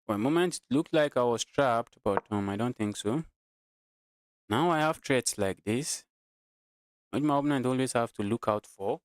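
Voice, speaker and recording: A Nigerian man with a mid-pitched voice streams casual game commentary. He thinks aloud and often repeats his phrases as he talks to viewers. The sound is dry, with no room echo. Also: lively intonation, normal speed. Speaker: chess streamer.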